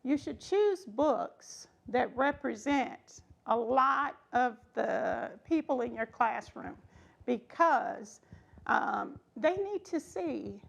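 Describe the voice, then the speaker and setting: A woman's voice: on the high side, expressive, slow, vocal fry. A middle-aged woman giving an informal read-aloud storytime with folksy, conversational asides. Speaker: storytime reader, schoolteacher.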